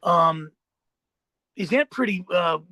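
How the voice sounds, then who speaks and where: A man with a notably high voice hosts a podcast interview. He speaks in a casual, conversational way. The recording is dry and free of room echo. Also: expressive, slow pace, sharp tone. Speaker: podcast host.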